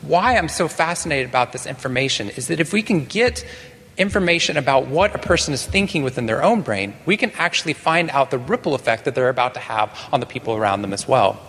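A man from Texas giving a conference talk to a live audience, animated and self-deprecating, with some restarts. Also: medium pitch, a little fast, big-room sound. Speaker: conference speaker. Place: large conference hall.

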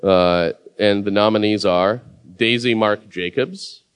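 A man's voice: fairly low pitch, takes it slowly, clean tone. A man hosting a live awards show from a mic in a big room, offhand, joking and swearing between nominees.

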